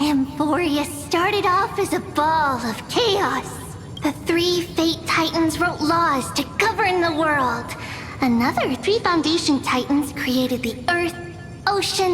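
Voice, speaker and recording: A woman's voice: very high voice, exaggerated voice. A woman voicing a chirpy, bossy little girl in a video game, telling history like a storybook lesson in a singsong manner. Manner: singsong, simple childlike wording. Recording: long hall reverb, music behind. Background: game music.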